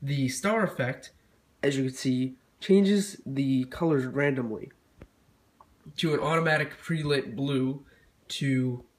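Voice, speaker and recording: A man's voice: mid-range voice, slow pace. A young man with a stuffy cold voice, casually reviewing a product with lots of fillers and restarts. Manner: rambling with frequent fillers and restarts. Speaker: tech reviewer.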